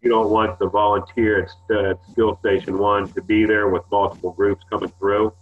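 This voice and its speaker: A low-voiced man explains procedures on a webinar in a rambling way, with lots of ums and restarts. He comes through a tinny, phone-like line. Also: little pitch movement, normal speed, a little hiss or hum. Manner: rambling, filler-heavy explaining. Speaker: sports department staff. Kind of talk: webinar briefing.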